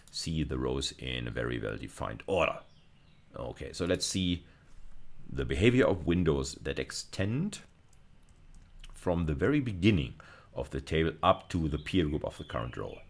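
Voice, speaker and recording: Very deep-voiced male lecturer. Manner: deliberate, emphatic, repeats and rephrases key phrases. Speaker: university lecturer. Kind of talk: explanatory screencast lecture.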